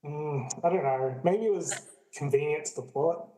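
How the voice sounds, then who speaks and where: A man's voice: a little high, normal speed, warm tone, natural voice, low-quality recording. A man playing a laid-back, skeptical friend with a casual way of talking, in an amateur radio play.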